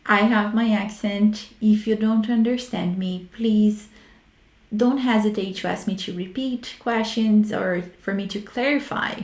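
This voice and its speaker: Brazilian-accented woman hosting, echoey room. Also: medium pitch. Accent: Brazilian Portuguese. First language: Portuguese. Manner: reflective, frank, halting with restarts. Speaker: host. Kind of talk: solo talk on research methods. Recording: roomy echo.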